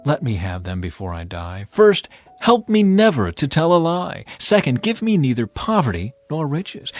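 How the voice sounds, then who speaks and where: A man voicing a weary, humbled sage who pleads earnestly with God in a halting, dramatic way, in Bible audio. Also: a little high. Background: background music.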